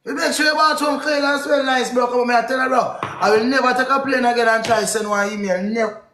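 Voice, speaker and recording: High-pitched Jamaican man bantering in echoey room. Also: quick pace, slight background noise. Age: 20s. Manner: animated, emphatic, repeats himself. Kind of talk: casual bantering livestream chat. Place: indoors in a home living room. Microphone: phone or webcam close in front, split-screen live call.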